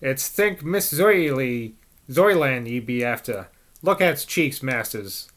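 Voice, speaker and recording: A man reading aloud and voicing a defiant, sharp-tongued older woman servant in a broad West Country rustic dialect. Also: lively intonation, slow. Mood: scornful. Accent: put-on West Country rustic dialect. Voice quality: shrill, scolding, broad rustic speech. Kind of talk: amateur audiobook reading.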